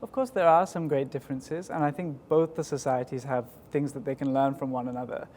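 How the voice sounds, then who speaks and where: A man in his twenties with a southern English accent, giving measured, articulate and polite interview answers in a formal way. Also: medium pitch, steady tone, a little mellow. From United Kingdom. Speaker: school executive, interview guest. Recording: echoey hall with background noise.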